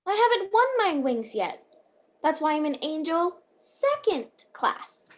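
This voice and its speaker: A woman's voice: sits high, very animated, a little slow. A woman playing a kindly, chatty, bumbling apprentice guardian angel in a radio play. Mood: earnest, cheerful. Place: at home on a video call.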